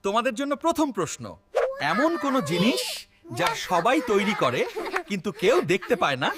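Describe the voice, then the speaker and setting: A woman playing a lively schoolteacher who briskly quizzes a class in a children's cartoon. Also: mid-range voice, very noisy, natural voice. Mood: bright, quizzing. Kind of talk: kids' cartoon quiz dialogue. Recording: music playing behind the voice.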